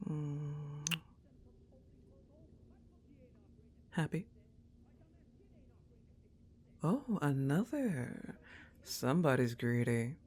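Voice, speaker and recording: Slow, intimate goth roommate played by a woman. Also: sits low, big pitch swings, exaggerated voice. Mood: affectionate, teasing. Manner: unhurried, soft-spoken, often slipping into whispers. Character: laid-back, teasing young goth woman, affectionate roommate. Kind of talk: intimate ASMR roleplay.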